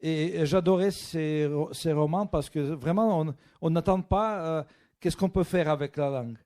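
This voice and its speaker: A man's voice: a little high, normal speed, a little mellow. Hesitant man with a Russian accent. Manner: hesitant, searching for French words. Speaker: guest author. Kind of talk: bookshop interview.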